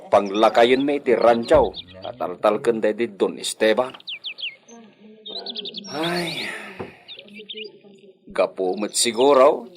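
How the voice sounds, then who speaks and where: A man's voice: on the low side, natural voice. A man playing a musing ranch worker in an Ilocano radio drama monologue, speaking with an Ilocano accent.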